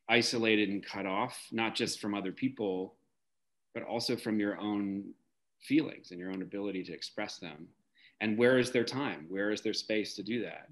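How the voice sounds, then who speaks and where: A middle-aged man giving reflective interview answers in a searching way, pausing often mid-thought on a video call. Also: sits low, a bit bright. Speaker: interview guest, theater director. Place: indoor room at home, video call.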